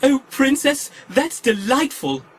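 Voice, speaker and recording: Fussy robot butler type for audio drama: prim, self-important, fretful, swooping intonation, measured pace. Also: male voice, extremely high pitch, big pitch swings, exaggerated voice. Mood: eager, deferential. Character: fussy, anxious, self-important golden protocol droid, male.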